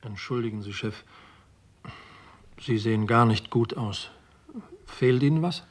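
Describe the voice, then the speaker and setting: A man plays a subordinate policeman in a radio crime drama. His voice is medium in pitch, and he sounds concerned and apologetic toward his boss. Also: unhurried, lightly acted. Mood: guilty, concerned. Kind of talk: German radio crime play acting.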